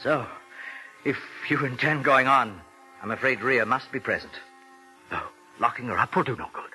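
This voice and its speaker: An actor playing a haunted ventriloquist in thrall to his dummy, speaking in measured, confessional phrases in a 1940s radio drama. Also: mid-range voice, sharp tone. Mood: resigned. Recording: old radio recording, faint music behind.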